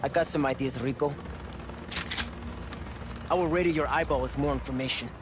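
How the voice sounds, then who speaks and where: A man's voice: medium pitch, moderate pace, noisy background, close to an everyday voice. A man playing an eager, loyal rebel sergeant in a video game, with a Latin American Spanish accent. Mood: earnest. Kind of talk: video game cutscene acting.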